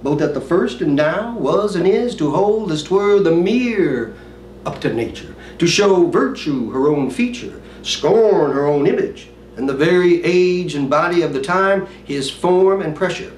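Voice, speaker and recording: A man's voice: sits high, animated, unhurried, darkish tone. Roomy, theatrical male actor as earnest Hamlet. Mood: earnest, impassioned. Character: theatrical Hamlet, earnest brooding prince reciting Shakespeare. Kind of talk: dramatic Shakespearean stage monologue. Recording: roomy, distant mic, faint noise.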